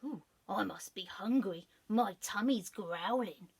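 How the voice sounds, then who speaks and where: A woman voicing a sly, scheming male fox in a children's storybook reading, speaking low and hushed. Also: slow, husky. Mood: greedy.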